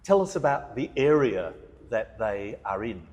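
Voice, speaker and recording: A man with a loud, projecting voice, courteously hosting a conversation with an artist at a gallery. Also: fairly low pitch, sing-song, unhurried. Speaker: host interviewing an artist. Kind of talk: gallery talk, casual conversation. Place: art gallery, large room. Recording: long reverb in a gallery hall.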